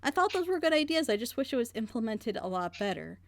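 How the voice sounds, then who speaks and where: A woman's voice: fairly high pitch, big pitch swings, quick pace, slight background noise. A woman hosting a group reaction video, critiquing a story in a passionate, rambling way. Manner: frustrated, rambling, emphatic. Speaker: reaction channel host.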